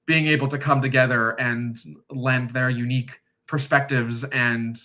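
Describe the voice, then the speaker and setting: American guy mulling things over out loud, lots of uhs and restarts, bright tense voice, mid pitch. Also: little pitch movement. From United States. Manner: thoughtful, hesitant, many pauses and restarts. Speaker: discussion guest. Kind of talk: casual discussion.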